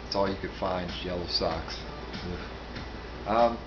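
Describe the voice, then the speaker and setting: Music plays clearly behind a man with a fairly low voice. He talks casually and without a script, in a halting, rambling way with asides that trail off. The recording is a little dull and lo-fi. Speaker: book reviewer, vlogger. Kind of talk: casual, unscripted talk to camera.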